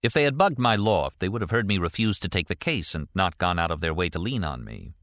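A sceptical ex-CIA detective in an audiobook voiced by a male reader. He talks in a low register, wry and sardonic, as if reasoning aloud. The sound is dry. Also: very animated, a little fast, a bit bright, no room sound, exaggerated voice.

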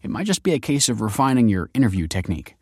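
A man's voice: on the low side, sing-song, quick pace, mellow, breathy edge, no room sound, clean studio sound, exaggerated voice. A man voicing an earnest, easygoing young man in a narrated story, eager and conciliatory. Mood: placating.

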